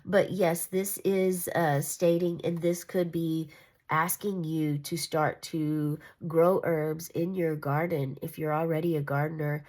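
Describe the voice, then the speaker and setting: A woman's voice: sits low, little pitch movement, takes it slowly, darkish tone. A congested, nasal woman giving a casual, meandering tarot reading. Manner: meandering, reassuring, with fillers and restarts. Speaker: tarot reader.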